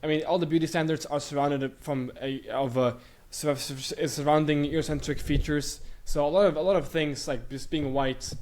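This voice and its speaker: A man's voice: on the high side, fairly even intonation, normal speed, hardly any pauses, a little mellow. A young man from Bulgaria who grew up in Sweden, talking as a guest in a casual interview, rambling with many fillers and restarts. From Bulgaria, raised in Sweden.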